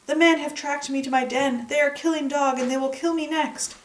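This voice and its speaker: A woman's voice: high voice, steady tone, brisk, voice pushed up, lightly acted. A trusting storybook leopard acted out by a woman speaks earnestly in a raised voice. He is a proud but gullible hunter, and the sound is roomy and a bit distant. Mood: frightened. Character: gullible male leopard, proud hunter, good-natured and unlucky. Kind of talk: children's folktale read-aloud. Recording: echoey room, distant microphone.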